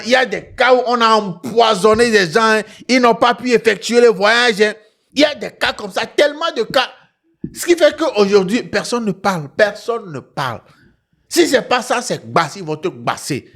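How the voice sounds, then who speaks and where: Man, high voice, West African French accent, online show host, emphatic storyteller, repeated phrases, questioning tags. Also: vocal fry, a bit bright. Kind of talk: animated storytelling commentary to viewers.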